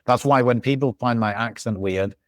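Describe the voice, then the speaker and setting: A man chatting casually as a podcast guest, thinking aloud with halting restarts. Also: mid-range voice, dry recording, studio quality. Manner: thoughtful, halting, many restarts. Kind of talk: casual chat. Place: living in Algiers.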